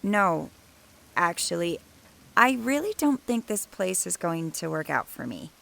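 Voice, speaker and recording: A young college woman in an audio drama, skittish but sardonic. Her voice swings up and down over an unhurried pace, with a noticeable fry, talking casually and hesitantly as if half to herself. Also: fairly low pitch, very animated, fairly bright tone, full character voice. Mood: impatient. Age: young adult. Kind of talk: naturalistic audio drama acting.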